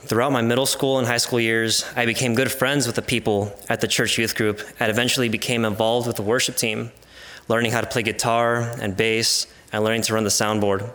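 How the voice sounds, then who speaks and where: A man in his twenties with an American accent and Spanish as his first language speaks at a medium pitch. He reads a prepared personal testimony with formal, measured phrasing. His delivery is earnest throughout. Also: flat delivery, fairly bright tone. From California and Arizona, United States. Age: 20s. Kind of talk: scripted personal testimony, read aloud.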